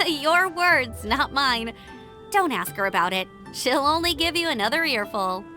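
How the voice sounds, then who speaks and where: A bright young scout. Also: female voice, sits high, lively intonation, unhurried, full character voice. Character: cheerful young woman scout, warm and nostalgic. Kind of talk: video game cutscene voice acting. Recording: game music under the voice.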